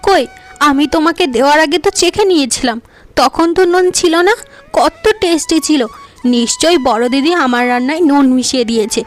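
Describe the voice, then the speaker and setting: A woman's voice: high voice, brisk, soft background music, lightly acted. A woman voicing a vain, scheming young wife in a cartoon folk tale, who talks in a boastful, mocking way. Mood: protesting. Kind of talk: animated folk tale voice acting.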